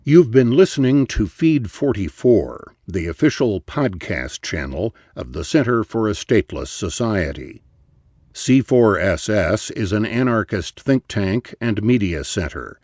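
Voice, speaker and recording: A man reading a scripted podcast announcement in a formal, deliberate announcer style. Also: lowish voice, lively intonation, darkish tone, no echo, studio quality.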